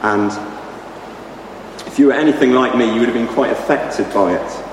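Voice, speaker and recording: Croaky male preacher, hesitant and restarting, heard from across a reverberant hall. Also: medium pitch. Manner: halting, with many restarts and ums. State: slightly croaky voice. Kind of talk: sermon. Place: church hall. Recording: echoey hall from far off, noisy.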